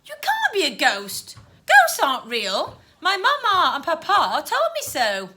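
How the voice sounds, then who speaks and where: Woman as a high-pitched, posh, haughty schoolgirl. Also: huge pitch swings, measured pace, bright, forceful tone, full character voice. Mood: indignant. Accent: posh upper-class English. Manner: prim, indignant. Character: posh, haughty young schoolgirl, indignant and defiant. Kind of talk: children's storybook read aloud.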